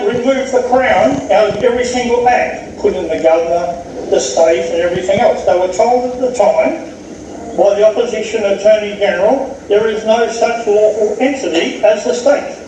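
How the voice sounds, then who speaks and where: A man giving a loud, emphatic public lecture. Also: high-pitched. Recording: very echoey room, speaker far from mic, noisy.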